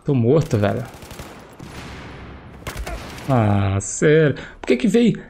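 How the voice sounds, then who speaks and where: A man's voice: mid-range voice, very animated, slow, gravelly, dark tone, a little hiss or hum. A young man with a Brazilian Portuguese accent, chatty and slangy, commenting on his own gameplay through a headset while still getting over a cold. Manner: chatty, slangy, exclaims at the action. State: recovering from a cold, voice slightly affected. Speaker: gameplay streamer. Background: faint game gunfire and explosions.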